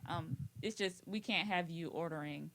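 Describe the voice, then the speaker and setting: A woman co-hosting a school's afternoon announcements, chatty and lively, addressing students directly. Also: fairly low pitch, normal speed, a little hiss or hum. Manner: chatty, lively, laughs easily. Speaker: school staff co-host.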